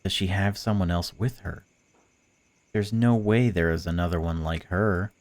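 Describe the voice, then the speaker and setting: A man's voice: low-pitched, steady tone, moderate pace, a little mellow, no room sound, lightly acted. A man voicing a suffering, bitter captive, reading bleak first-person narration from a visual novel. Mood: uneasy. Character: suffering, bitter, frightened captive bound outdoors.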